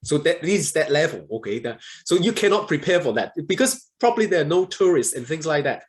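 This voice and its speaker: A man's voice: fairly high pitch, big pitch swings, brisk. A man from Southeast Asia speaking as a panelist, giving chatty answers and often tagging his points with "okay?". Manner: chatty, keeps adding "okay?" at the end of points. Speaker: startup visa panelist.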